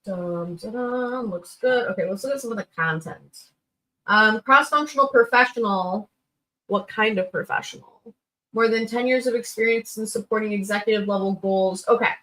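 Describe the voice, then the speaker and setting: A woman's voice: medium pitch, normal speed, sharp tone, poor audio. A woman hosting a livestream, giving informal, blunt feedback in a chatty, unscripted way and thinking aloud as she goes. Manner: informal, blunt, thinks aloud with little sing-song filler sounds. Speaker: livestream host reviewing resumes.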